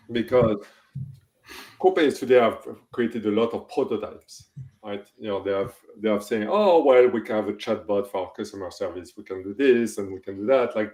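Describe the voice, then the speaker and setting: A man's voice: fairly low pitch, a little mellow, echoey room, low-quality recording. A middle-aged man from France with a French accent, speaking as an interview guest and thinking aloud with restarts and tag questions like "right?". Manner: thoughtful, many restarts and "right?" checks. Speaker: guest, tech executive. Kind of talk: podcast interview.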